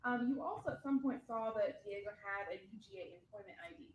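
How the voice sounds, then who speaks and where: A woman's voice: mid-range voice, fairly quick, dull audio. A woman attorney cross-examining a witness in a courtroom, asking pointed leading questions with frequent ums. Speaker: defense attorney. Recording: echoey courtroom audio.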